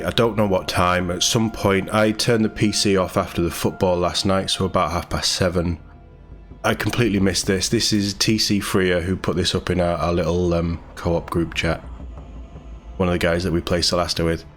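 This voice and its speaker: Low voiced guy doing casual gaming news, chatty and into it, bit of music behind him. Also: fairly bright tone. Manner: chatty, informal, enthusiastic. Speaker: host of a gaming news channel. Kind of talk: casual news read-through with commentary. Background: background music.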